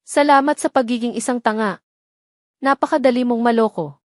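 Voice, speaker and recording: A woman's voice: fairly high pitch, normal speed, dry recording, voice pushed up, lightly acted. A bitter young villainess of an audio drama, acted out by a woman narrator. She taunts and gloats with open spite, in a dry, close recording. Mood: gloating, scornful.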